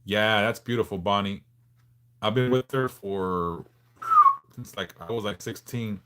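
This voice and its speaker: A man in his forties from Southern California, hosting a casual livestream and answering viewers in a frank, laid-back way with halting restarts. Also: lowish voice, background noise. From Los Angeles, California. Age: 40s. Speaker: livestream host.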